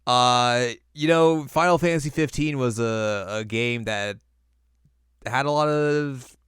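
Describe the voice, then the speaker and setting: A man's voice: medium pitch, slow pace, fairly bright tone, clear, resonant tone, no echo, studio quality. A man co-hosting a podcast in casual conversation, informal and wry, repeating words for emphasis. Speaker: podcast co-host. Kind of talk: casual chat, film ranking discussion.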